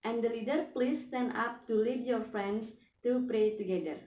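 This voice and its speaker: A young woman teaching a simulated English lesson, explaining slowly and carefully with hesitant pauses. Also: a little high, mellow. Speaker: student teacher. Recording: echoey room.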